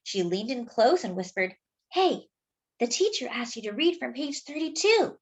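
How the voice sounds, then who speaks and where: A woman reading a children's picture book aloud, pausing to point out details in the pictures. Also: on the high side, big pitch swings, muffled recording. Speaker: children's storyteller.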